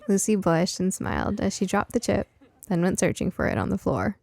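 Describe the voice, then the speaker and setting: A woman's voice: mid-range voice, big pitch swings, unhurried, gravelly, darkish tone. A woman reading scripted first-person story narration for an audiobook.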